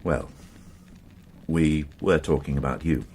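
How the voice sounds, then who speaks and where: An actor playing a dry, probing British police inspector in a radio crime drama, measured and questioning. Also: male voice, extremely low pitch, a little mellow, slightly rough, full character voice. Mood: light.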